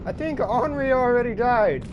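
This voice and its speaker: A man's voice: very high voice, animated, normal speed, lo-fi sound, background noise. A man giving casual, playful gameplay commentary, poking fun at himself and often exclaiming. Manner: playful, self-mocking, exclamatory. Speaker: video game streamer or let's player.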